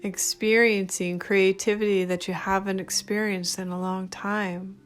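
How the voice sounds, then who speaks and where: Woman doing guided meditation, really slow n gentle, music playing behind her. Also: medium pitch, level tone. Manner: gentle, soothing, unhurried with short phrases. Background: background music.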